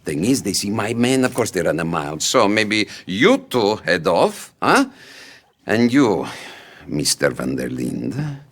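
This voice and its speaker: A man's voice: on the low side, sing-song, measured pace, fairly bright tone, full character voice. A man playing a pompous, volatile Italian crime boss in a video game, with an Italian accent and a condescending manner that swings between menace and mirth. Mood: slyly menacing. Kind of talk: dramatic video game acting.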